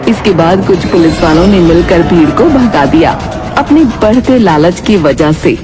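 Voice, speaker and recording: A woman's voice: lowish voice, animated, moderate pace, music in the background. A woman narrating a scripted children's cartoon story as the storyteller.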